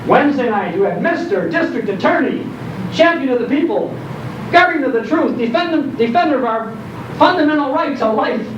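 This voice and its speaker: An older man with a high-pitched voice gives a nostalgic public talk, leaning on repeated phrases like a keen storyteller. He is heard from a distance in an echoey room, with clear background noise. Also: expressive, normal speed. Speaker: speaker on old-time radio history. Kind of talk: nostalgic talk to a live audience. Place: indoor program venue, recorded from afar. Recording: dull, distant, echoey hall recording, loud noise.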